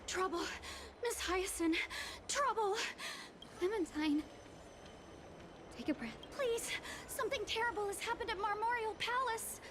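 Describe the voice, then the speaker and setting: An actress playing a sweet, earnest, childlike young helper in a video game, with a polite, cutesy manner. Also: female voice, very high voice, a little slow, noisy background. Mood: alarmed, upset. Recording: loud music under the voice.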